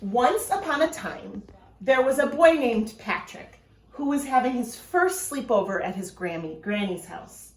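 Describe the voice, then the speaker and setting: A woman's voice: fairly high pitch, lively intonation, a little slow. A woman elementary school teacher talking informally to her pupils and reading aloud, addressing the children directly. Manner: addresses children directly, asks them questions. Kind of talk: informal video message and read-aloud for pupils. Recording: echoey room.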